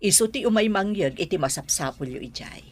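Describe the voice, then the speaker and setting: A woman's voice: on the low side, animated, fairly quick. A woman with an Ilocano accent playing a composed, authoritative, well-off mother in a radio drama.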